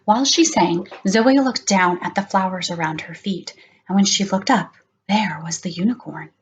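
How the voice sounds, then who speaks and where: A middle-aged woman reading a children's story aloud in an expressive, deliberate way. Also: mid-range voice, muffled recording, a little hiss or hum. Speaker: storybook session reader.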